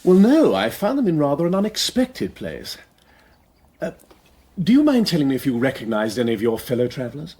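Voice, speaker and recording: Urbane English gentleman detective, radio drama: lively swooping delivery, slightly rough tone, courteous and musing. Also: fairly high pitch, a little fast, heavily acted. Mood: politely probing. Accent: upper-class English. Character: eager aristocratic gentleman detective, courteous and inquisitive. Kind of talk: British radio drama acting.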